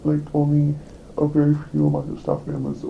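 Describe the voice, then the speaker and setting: A man in a recorded workplace meeting, describing what happened to him in a halting, hesitant way with many fillers and restarts. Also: fairly high pitch, completely flat delivery, creaky, narrow, tinny audio, a little hiss or hum. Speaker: employee reporting a complaint.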